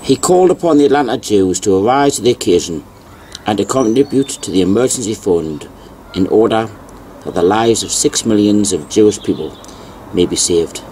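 A man with a fairly low voice reads old newspaper articles aloud and adds plain commentary. His delivery is deliberate, and he repeats key phrases. A faint background noise runs under the voice. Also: animated, warm tone, rough recording. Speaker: video narrator.

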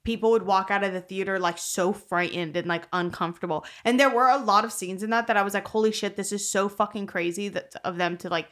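A woman's voice: medium pitch, fairly even intonation, fast talker, hardly any pauses, fairly bright tone. A young woman co-hosting a podcast in a small room, chatting casually with lots of fillers and swearing, into a desk microphone. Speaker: podcast co-host. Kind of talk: casual chat. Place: small room, seated on chairs. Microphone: studio mic on desk stand.

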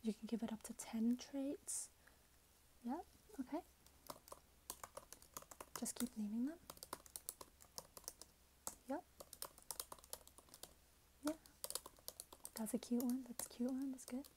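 A woman in her twenties speaking in a hushed, breathy whisper, gently role-playing a customer service clerk for ASMR. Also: a little high, slow pace, pauses often, a little hiss or hum. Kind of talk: soft-spoken ASMR role-play. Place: small plain indoor room.

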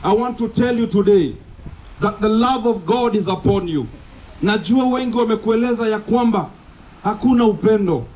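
A man's voice: sits high, a little mellow, a little hiss or hum. A young Kenyan man preaching outdoors on a sandy beach street, declaiming with urgent repetition as a street preacher, picked up by a camera set at a distance. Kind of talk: open-air sermon mixing Swahili and English.

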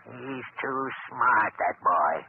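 An actor playing a mean, greedy old businessman in a 1930s radio drama, creaky and exclaiming. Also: mid-range voice, animated, slow. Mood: gloating. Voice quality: creaky, gravelly. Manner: muttering to himself, exclaiming. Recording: tinny, noisy 1930s radio recording.

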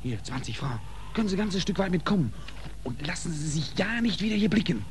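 A man's voice: high voice, expressive, brisk. An actor playing a curt, bossy and officious border policeman in a 1940s German radio drama. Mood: impatient. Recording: noisy old 1940s radio recording.

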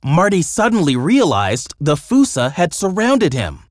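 A man's voice: a little high, huge pitch swings, measured pace, dry recording, studio quality. A man narrating a scripted children's story with dramatic storytelling delivery.

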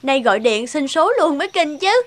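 A woman's voice: high voice, lively intonation, moderate pace. A woman voicing a brash, pushy, materialistic young woman in a cartoon. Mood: breezy teasing. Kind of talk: cartoon dubbing.

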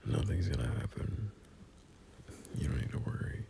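Very deep, gravelly young boyfriend played by a man. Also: animated, moderate pace, exaggerated voice. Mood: reassuring, coaxing. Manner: soft, breathy, often half-whispered, hesitant and soothing. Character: gentle, teasing young boyfriend comforting his scared partner. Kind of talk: boyfriend ASMR roleplay, intimate and casual. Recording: faint background hiss.

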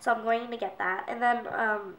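A woman's voice: a little high, fairly even intonation, normal speed, a bit bright, distant mic. A young woman in her twenties, congested from a cold, chatting casually to camera about makeup with lots of fillers, recorded in a small bedroom by the camera's own mic. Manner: chatty, rambling with many fillers. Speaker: beauty vlogger. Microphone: camera mic at a distance. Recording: roomy, from off the mic.

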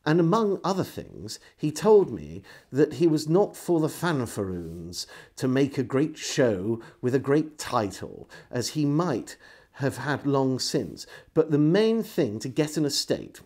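A middle-aged man reading a historical diary aloud as a storyteller, in an expressive, measured way. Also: mid-range voice. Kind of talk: dramatic literary reading. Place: indoors, small room lined with bookshelves.

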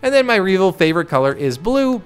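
A young man with a higher voice chatting casually and thinking aloud as he hosts a game playthrough. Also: sing-song, brisk. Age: young adult. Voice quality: higher-pitched, clear smooth voice. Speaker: gaming video host. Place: small home room, indoors. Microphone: desk microphone close to mouth. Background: game music.

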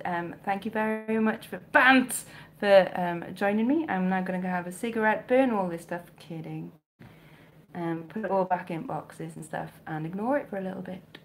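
A chatty, self-mocking woman with a mild South Welsh accent talks straight into a close webcam in her bedroom. Her pitch sits in an ordinary middle range. Faint room noise hums underneath. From South Wales. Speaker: vintage clothing reseller, livestream host. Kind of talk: casual live stream chat reading comments. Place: home bedroom with shelves, indoors. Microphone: webcam or phone close up.

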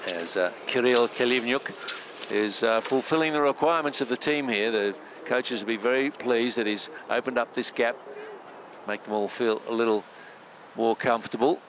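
Male race commentator, mid-pitched, steady measured delivery, noisy outdoor broadcast feed. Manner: measured, steady play-by-play with filler pauses. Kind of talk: live sports commentary.

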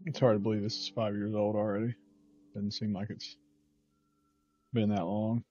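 A man's voice: on the low side, flat delivery, moderate pace, dark tone, lo-fi sound, faint music behind. A middle-aged man giving casual, offhand gameplay commentary as a streamer, in muttered asides. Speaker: gaming streamer. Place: indoor room.

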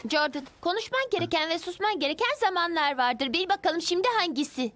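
A woman voicing a feisty, defiant fifteen-year-old girl pilot in an animated series dub, with a sharp, cheeky delivery. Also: very high-pitched, a little fast.

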